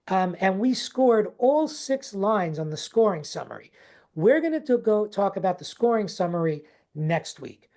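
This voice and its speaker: A woman's voice: mid-range voice, mellow. A woman leading a teacher workshop over a video call, chatty and informal, checking in with "okay?" and "right?" as she talks. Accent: not native to Texas, unnamed regional accent. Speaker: teacher trainer. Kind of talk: live online teacher workshop.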